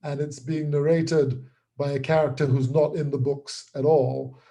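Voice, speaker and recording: A man who is a writer and creator, explaining his work in an interview in articulate, deliberate phrases broken by pauses. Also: on the high side, level tone, warm tone.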